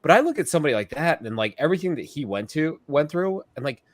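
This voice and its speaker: A man with a mid-pitched, very lively voice co-hosting a casual sports podcast. His speech is animated and full of filler words, and the sound is dry and close. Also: fast, no echo. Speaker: podcast co-host.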